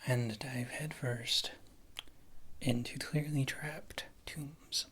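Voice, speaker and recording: A woman playing a wry witch healer and former adventurer in a fantasy ASMR roleplay, speaking in a soft, breathy whisper close to the microphone. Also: extremely low pitch, steady tone, heavily acted. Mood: soothing, chatty. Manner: rambling, wry, gentle, unhurried.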